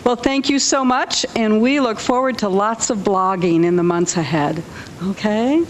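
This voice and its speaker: A woman's voice: mid-range voice, normal speed. A woman informally hosting an event in front of an audience. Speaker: event host. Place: indoor library event with audience. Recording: live event room with audience noise.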